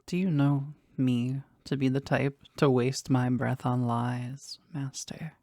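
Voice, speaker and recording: An actor playing a powerful, devoted demon bound to a sorcerer in an intimate romantic audio roleplay, speaking formally and tenderly in a breathy voice that often drops toward a whisper. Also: mid-range voice, steady tone, warm tone, no echo, clean studio sound. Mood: earnest, yearning. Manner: formal, slow, tender, halting with emotion.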